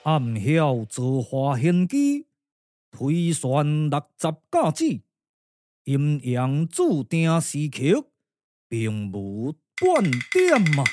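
A man as a grave, slow Taoist strategist. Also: fairly high pitch, wildly swooping pitch, over music, voice pushed down, full character voice. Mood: grave, composed. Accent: Taiwanese. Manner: measured, formal recitation. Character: solemn male Taoist strategist, grave and learned. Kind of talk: Taiwanese puppet-theatre radio drama.

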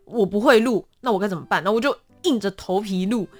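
A woman's voice: medium pitch, fast, faint music behind. A woman with a Taiwanese accent chatting casually and expressively as an interview guest. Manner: animated, expressive storytelling.

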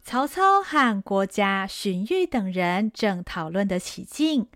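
Woman narrator, Taiwanese Mandarin, animated delivery, dry studio sound. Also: mid-range voice, takes it slowly, no echo, soft background music. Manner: vivid storytelling. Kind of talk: scripted radio drama narration.

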